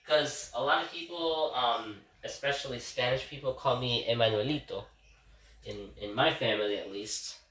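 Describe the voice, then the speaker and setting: A man's voice: medium pitch, moderate pace, a bit bright. A congested man vlogging a casual, rambling reflection from his kitchen, repeatedly addressing his viewers as guys. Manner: rambling, keeps calling out to "guys". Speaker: vlogger giving a daily reflection. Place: home kitchen. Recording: roomy, distant kitchen recording.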